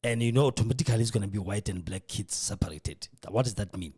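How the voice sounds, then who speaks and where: Husky South African man, radio host. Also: lowish voice, fast. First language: Setswana. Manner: mixes English with Setswana, animated. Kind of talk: casual on-air commentary. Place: radio studio.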